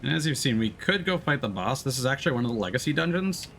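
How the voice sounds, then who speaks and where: Animated man streaming a video game. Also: mid-range voice, fast talker, gravelly, a little hiss or hum. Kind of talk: casual live gameplay commentary. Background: music behind the voice.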